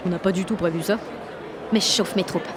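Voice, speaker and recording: A woman's voice: fairly low pitch, steady tone, a little fast, crowd noise, background noise, close to an everyday voice. A woman playing a cocky, exalted sixteen-year-old girl activist, narrating an audio drama in brash, confiding slang. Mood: rousing. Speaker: lead actor and narrator.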